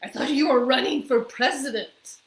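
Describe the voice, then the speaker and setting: A woman's voice: high voice, normal speed. A woman voicing a kindly older neighbor man. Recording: roomy echo.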